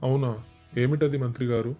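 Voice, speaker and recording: An actor playing a proud king in a cartoon, speaking in a formal, measured way. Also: medium pitch, steady tone, mellow, close to an everyday voice. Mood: curious, deliberate. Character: proud adult king, decisive, later remorseful. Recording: music under the voice.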